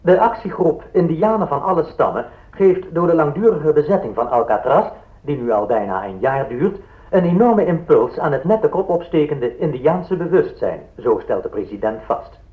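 An echoing, muffled recording of a formal news reporter in a radio play, acted by a man. He reads emphatically, with strongly varied pitch. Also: expressive, moderate pace, natural voice. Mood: formal, urgent. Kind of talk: news bulletin reading in radio drama.

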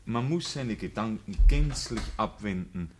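A man's voice: fairly low pitch, expressive, a little slow, slight background noise. A man playing a pious, unbending village priest in a radio play, preaching in a formal, insistent manner. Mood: fervent, admonishing. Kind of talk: radio play acting, clerical declamation.

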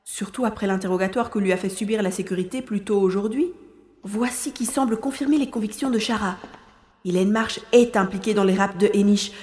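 A woman's voice: medium pitch, fairly quick, darkish tone, natural voice. A woman playing an anxious young sleuth in an audio drama, narrating inner thoughts while sneaking around, full of exclamations and questions. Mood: suspicious. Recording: roomy long reverb, faint noise.

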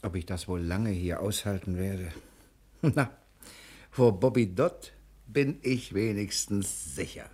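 An actor playing a cheeky, roguish fugitive thief who plays innocent, glib and excitable, in a radio crime drama. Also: male voice, sits low, takes it slowly. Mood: mock-innocent, sly. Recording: noisy old 1960 radio recording.

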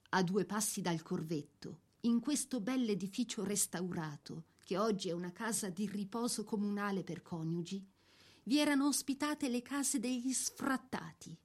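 A woman's voice: mid-range voice, a little mellow. A woman narrating a literary drama from a script, with articulate, emphatic phrasing.

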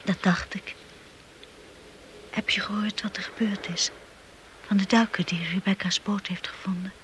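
A woman acting a devoted, anxious young wife in a Dutch radio play, breathy, tender and imploring. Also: mid-range voice, steady tone, measured pace, fairly bright tone, in a whisper, natural voice. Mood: tender, concerned. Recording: noisy old radio play recording.

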